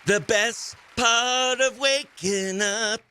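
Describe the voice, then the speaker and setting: Bright-voiced man hosting morning radio. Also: very high-pitched, drawn-out words, clear, resonant tone. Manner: informal slangy, exclaims dude and oh heck. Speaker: radio morning show host. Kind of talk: upbeat casual radio contest banter. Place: small radio studio, close dry mic.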